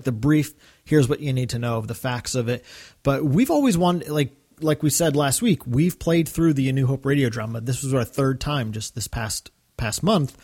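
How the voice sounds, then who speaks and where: A man's voice: on the high side, a little mellow. A man co-hosting a fan podcast, talking casually and chattily with rambling asides. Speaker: podcast co-host.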